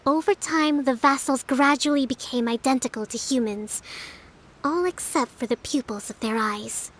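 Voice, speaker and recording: A woman voicing a composed, gracious young priestess leader in a video game, speaking in a measured, formal, explanatory way. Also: sits high, rough recording. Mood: gently correcting. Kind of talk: dramatic video game acting.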